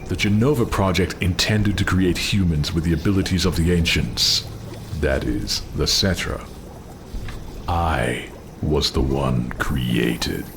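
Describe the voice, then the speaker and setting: An actor voicing a cold, haughty, menacing elite soldier in a video game, speaking gravely and deliberately with condescension. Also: male voice, low voice, noisy background, exaggerated voice. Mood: cold contempt. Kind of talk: dramatic video game cutscene acting.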